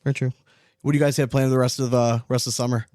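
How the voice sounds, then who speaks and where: Quick-talking man, casual podcast host. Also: mid-range voice, level tone, a little mellow, dry recording, studio quality. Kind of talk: casual sports podcast chat.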